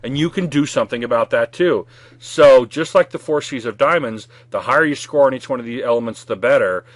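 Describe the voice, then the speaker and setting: A man's voice: medium pitch, fairly quick, dry recording. A man giving an instructional sales training talk in a direct, conversational way, stressing his key points.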